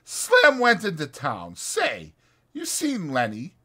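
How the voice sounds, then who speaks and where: A man plays an old ranch hand in a dramatized audiobook. He sounds awkward and hesitant, with folksy rural phrasing and a bright, raised pitch. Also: huge pitch swings, slow, exaggerated voice. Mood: hesitant, friendly. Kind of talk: dramatized audiobook character acting.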